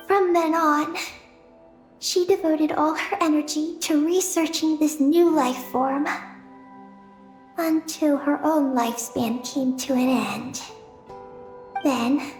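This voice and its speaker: A small innocent moon creature from a fantasy game. The girlish voice is very high and echoing, slow and hesitant, with an earnest air. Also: darkish tone. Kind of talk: video game voice acting.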